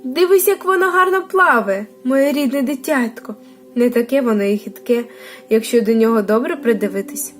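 A woman voicing a gentle, protective mother duck in a children's storybook, tender and earnest. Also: a little high, moderate pace, faint music behind, natural voice. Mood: proud, tender.

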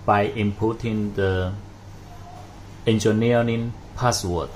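A man narrating a hands-on product tutorial in a halting, step-by-step way. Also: on the low side, fairly even intonation, a little mellow. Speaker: product demonstrator. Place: indoors at a desk with devices. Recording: noticeable background noise.

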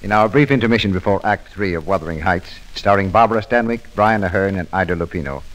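A man reading a scripted 1940s radio advertisement in a formal, measured manner. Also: deep voice, rough recording. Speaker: radio commercial announcer. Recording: old radio broadcast recording.

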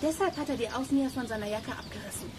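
Woman as sensible girl sleuth, high voice, children's audio drama, very noisy recording. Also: fast talker, close to an everyday voice. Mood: focused. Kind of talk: German children's radio play acting.

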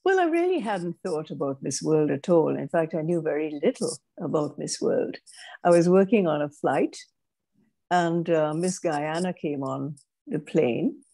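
An older woman with a British-Caribbean accent, her voice low and mellow. She recalls her past in a measured, reflective way, often restarting her sentences, speaking over earbuds on a video call. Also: lively intonation, gravelly. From Grenada. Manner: measured, thoughtful, with frequent restarts. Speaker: guest speaker. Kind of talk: reflective interview storytelling. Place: home room, indoors. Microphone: wired earbuds on a video call.

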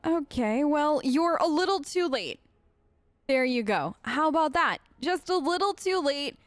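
A woman's voice: high voice, animated, measured pace, no room sound. A woman hosting a role-play let's play, talking casually and informally as she improvises in character.

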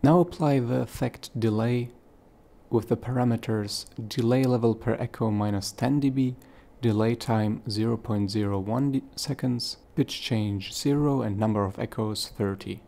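A man hosting a software tutorial, giving plain step-by-step instructions. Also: medium pitch, normal speed, gravelly. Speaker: tutorial host.

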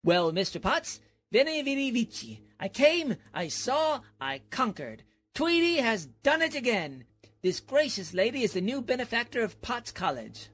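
A performer voices a flattering, theatrical male professor in an old-time radio comedy. The voice is husky and very animated. He is eager and a little bumbling, given to hesitant filler words. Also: mid-range voice, normal speed. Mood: triumphant.

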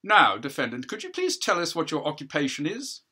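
A man's voice: on the high side, wildly swooping pitch, brisk, sharp tone, slightly rough, pitched above the performer's own voice, heavily acted. A man voicing a formal, officious prosecuting counsel in a stage play, precise and legalistic. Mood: pointed.

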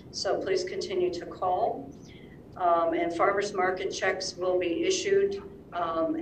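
Brief: woman public health director, halting updates, lower voice, echoey room, distant mic. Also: slight background noise. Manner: halting, many ums and uhs. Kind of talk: reading public announcements. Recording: echoey room, heard from far off.

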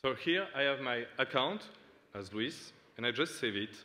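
A man's voice: medium pitch, expressive, pushed and bright. A man from Switzerland giving a hesitant, earnest startup demo on stage into a lectern mic. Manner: halting, earnest, many fillers. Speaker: startup founder demoing. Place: large dark hall on stage. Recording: long hall reverb.

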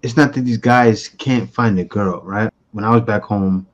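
A man's voice: fairly low pitch, normal speed, dull audio. A man in his forties hosting a reaction show, talking bluntly and animatedly into a close studio mic in a small room. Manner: animated, blunt, talks back at clips. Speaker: host reacting to clips. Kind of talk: casual reaction commentary. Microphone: studio mic close to mouth.

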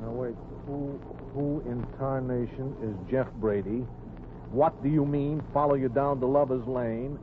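A man's voice: medium pitch, fairly even intonation, unhurried, lightly acted. Guy doing sarcastic exasperated folksy driver, 1940s radio comedy, dull hissy old recording. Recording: muffled, noisy 1940s radio broadcast recording.

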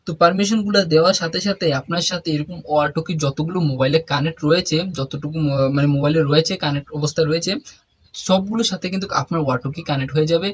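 A man's voice: a little high, rapid-fire. A man hosting a step-by-step app tutorial, addressing viewers directly in an instructional, repetitive way. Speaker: tech tutorial host. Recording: faint background noise.

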